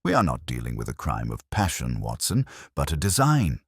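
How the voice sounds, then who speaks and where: A man performs a commanding Victorian detective in an audiobook mystery. The voice is extremely deep yet moves expressively, recorded close and dry. The phrasing is deliberate, exact and coolly analytical. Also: wildly swooping pitch, vocal fry, husky, no room sound, exaggerated voice. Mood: measured, decisive. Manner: precise, deliberate, deductive.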